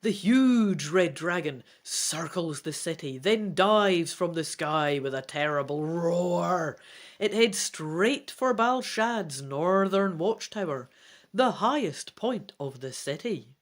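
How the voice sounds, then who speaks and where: A woman presenting a gamebook playthrough, switching between theatrical reading and chatty casual commentary. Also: fairly low pitch. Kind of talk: dramatic reading aloud mixed with casual commentary.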